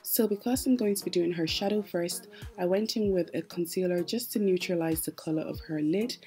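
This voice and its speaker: A woman with a distinctly low, mellow voice narrates a makeup tutorial. She is chatty and explanatory, talking casually to her viewers as she walks through the steps. Light music plays behind her. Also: fairly quick. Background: background music.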